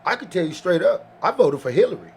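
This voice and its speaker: High-voiced, impassioned male podcast panelist. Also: very animated, fast talker. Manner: impassioned, blunt, slangy, repeats himself. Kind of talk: casual podcast chat. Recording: faint background noise.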